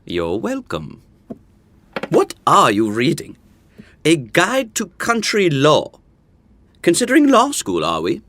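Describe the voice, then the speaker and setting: An actress playing a probing, skeptical young friend in an audio drama, arch, pointed and mock-formal. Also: female voice, sits low, wildly swooping pitch, takes it slowly, long pauses, a bit bright, exaggerated voice. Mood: sly, probing. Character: probing, sly, skeptical friend called Leo.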